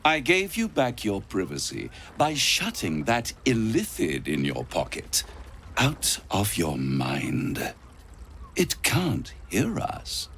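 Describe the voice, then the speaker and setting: An actor playing a suave, scheming devil in a video game, speaking with theatrical, silky deliberation. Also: male voice, fairly low pitch, huge pitch swings, takes it slowly, vocal fry, a bit bright, slight background noise, heavily acted. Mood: silky, smug. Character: suave, scheming male devil offering bargains. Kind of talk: video game cutscene acting.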